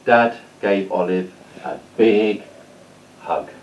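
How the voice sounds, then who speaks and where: A man's voice: on the low side, steady tone, takes it slowly, far from the mic, muffled recording, a little hiss or hum. A man reading a children's story aloud as storyteller. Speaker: storyteller, school staff reader.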